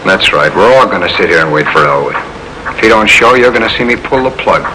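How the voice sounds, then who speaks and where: An actor playing a menacing, impatient bomber who barks orders in a 1950s crime radio drama. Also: male voice, deep voice, lively intonation, fast talker, background noise. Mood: smug, menacing. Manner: tough, bossy, barking orders. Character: menacing, impatient male bomber holding police hostage. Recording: old radio recording with music behind.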